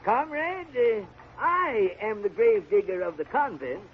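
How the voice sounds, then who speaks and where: An actor playing an old peasant gravedigger in a radio drama, wheedling and excitable, with a wavering, stammering delivery. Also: male voice, measured pace. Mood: wheedling, nervous. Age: older. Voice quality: high-pitched, wavering old man's voice. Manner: hesitant, stammering, exclamatory. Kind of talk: golden age radio drama acting. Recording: muffled, noisy old radio broadcast.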